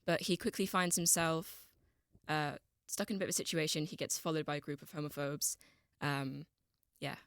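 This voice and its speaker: A young woman guest on a podcast, calmly retelling a story in a measured way. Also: deep voice, dry recording, studio quality. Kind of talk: casual chat.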